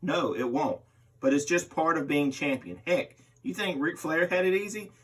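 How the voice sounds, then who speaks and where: A man's voice: fairly high pitch, normal speed, slightly rough. A man reading a magazine interview aloud as a host, voicing the interviewee's part. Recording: distant microphone.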